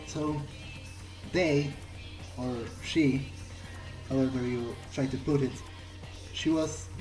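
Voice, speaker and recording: A man playing a bewildered, newly turned vampire in a video game, musing earnestly to himself in halting, hesitant phrases. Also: a little high, darkish tone, low-quality recording. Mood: pensive, troubled. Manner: halting, hesitant, searching for words. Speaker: video game player speaking in character. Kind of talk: in-character thinking aloud during a playthrough.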